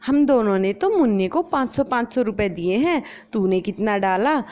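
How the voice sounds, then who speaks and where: A woman's voice: a little high, expressive, moderate pace, darkish tone, pitched above the performer's own voice, close to an everyday voice. A woman voicing a nosy, gossiping neighbour woman in a storybook. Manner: prying, gossipy. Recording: slightly dull lo-fi recording.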